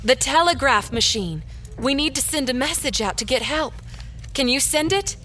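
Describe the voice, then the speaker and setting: A woman's voice: high-pitched, lively intonation, moderate pace, pushed and bright. A woman playing a caring, practical small-town librarian in an audio drama, helpful and coaxing. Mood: concerned, eager. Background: music under the dialogue.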